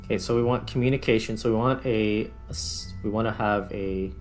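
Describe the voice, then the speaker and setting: A man giving casual gameplay commentary, thinking aloud in a halting, musing way. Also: fairly low pitch, steady tone, soft background music. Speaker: gaming video host.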